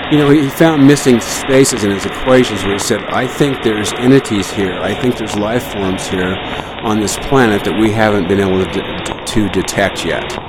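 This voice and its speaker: Man talking over loud shortwave static. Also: fairly low pitch. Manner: rambling, musing. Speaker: radio host. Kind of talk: casual radio talk. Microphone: studio mic heard over shortwave.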